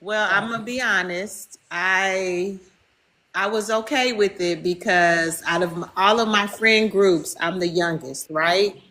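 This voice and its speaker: Woman, 50s, bright voice, slow easy pace, bubbly, laughing a lot, podcast guest. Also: medium pitch. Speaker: guest, body care business owner. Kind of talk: casual podcast chat.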